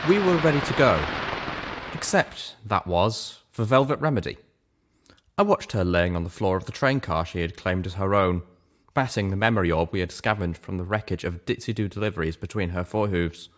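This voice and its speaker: A man's voice: deep voice, expressive, brisk. A man reading a first-person story aloud as scripted narration. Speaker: reader of fan fiction show.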